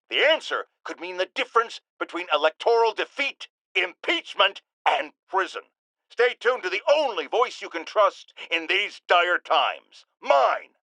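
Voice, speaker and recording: An actor playing a blustering, self-righteous middle-aged American talk-radio host in a video game, with pompous, emphatic delivery. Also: male voice, sits high, sharp tone, dry recording, heavily acted, low-quality recording. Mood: accusing. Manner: pompous, emphatic, ranting oratory. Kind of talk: opinionated talk-radio monologue, theatrical game acting.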